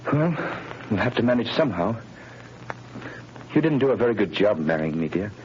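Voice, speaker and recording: An actor playing an earnest, pleading young husband who is wrongly jailed, in 1930s radio acting. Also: male voice, lowish voice, very animated, a little fast, a little mellow. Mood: worried. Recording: dull old radio broadcast with hiss.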